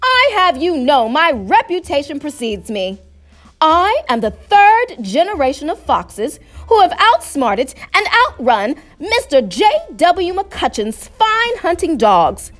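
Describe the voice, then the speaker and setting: A woman's voice: high-pitched, big pitch swings, takes it slowly, fairly bright tone, pitched above the performer's own voice, full character voice. A woman voicing a vain, pompous, easily flustered male fox in a storybook, speaking in wordy, indignant phrases, with music behind. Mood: boastful. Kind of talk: storybook character voice.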